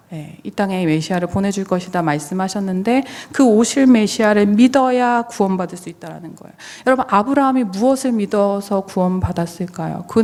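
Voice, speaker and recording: A woman's voice: medium pitch, darkish tone. A woman pastor preaching a sermon, explaining and addressing listeners directly with questions, her voice somewhat strained from recovering. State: voice strained, limited to low register while recovering. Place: church sanctuary. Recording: long reverberant hall sound.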